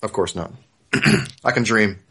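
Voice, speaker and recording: A man with a fairly low voice hosts a casual fan discussion. He qualifies what he says as he goes, with a wry edge. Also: slow pace, fairly bright tone, husky. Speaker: podcast host, fan forum operator.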